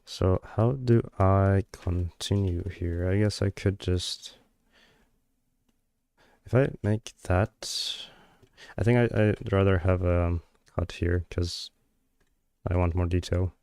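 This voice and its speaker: Guy on stream muttering to himself while working, slow, lots of "like" and "um", smooth clear voice. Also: low-pitched, steady tone, darkish tone, no room sound. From Georgia. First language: Georgian. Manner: hesitant, many fillers and trailing thoughts. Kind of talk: thinking aloud while working on a live stream.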